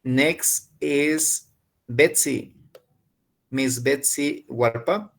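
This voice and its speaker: Gravelly-voiced man running an online class. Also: medium pitch, drawn-out words. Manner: switches between Spanish and English, gives instructions. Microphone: video call.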